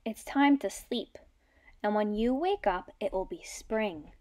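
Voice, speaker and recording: Casting: woman as patient, drowsy mother bear, mumbling softly for a picture book. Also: mid-range voice, animated, measured pace, no echo, studio quality, lightly acted. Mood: gentle. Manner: mumbling, sleepy.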